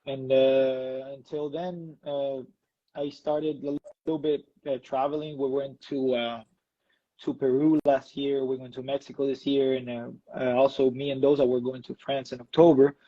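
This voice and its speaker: A man's voice: mid-range voice, level tone, moderate pace, warm tone, no echo, dull audio. A man from Ecuador, an electro dancer, talking casually in an interview with many fillers and restarts.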